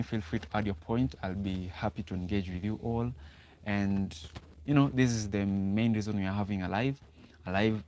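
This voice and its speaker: A man's voice: fairly low pitch, a little mellow, a little hiss or hum. A young Kenyan man hosting a casual livestream, often repeating words and restarting sentences as he talks to viewers. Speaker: livestream host.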